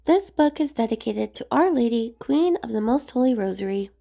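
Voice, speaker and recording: A woman reading a rhyming children's picture book aloud in a measured, expressive sing-song way. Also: fairly high pitch, vocal fry. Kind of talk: storybook read-aloud narration of rhyming verse.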